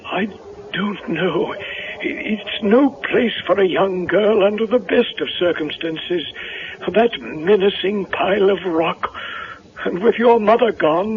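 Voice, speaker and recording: High-pitched, dithering reverend, tinny radio. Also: male voice. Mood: uneasy, concerned. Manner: stammering, hedging, fussily precise. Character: fussy, hesitant, kindly male reverend. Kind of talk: 1970s radio drama acting. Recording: tinny vintage radio, faint noise.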